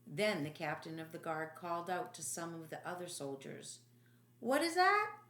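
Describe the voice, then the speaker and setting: A woman reading dramatic first-person audiobook narration in a solemn, deliberate, emphatic way. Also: low voice, little pitch movement. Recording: echoey room.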